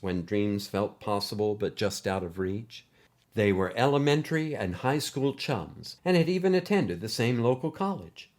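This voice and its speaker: A man narrating a scripted short story as a host, with expressive, animated delivery. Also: medium pitch.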